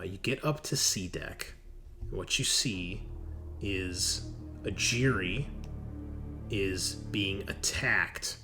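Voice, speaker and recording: A man's voice: deep voice, pushed and bright. A man running a tabletop game, explaining rules and describing scenes in a casual, profane, teasing way. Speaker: game master. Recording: music playing behind the voice. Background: music.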